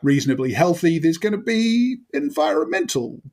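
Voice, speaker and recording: A man's voice: high-pitched, wildly swooping pitch, normal speed, gravelly, darkish tone. A man chatting casually as a player in a tabletop game, wry and playfully formal in his wording. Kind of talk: casual improvised game chat.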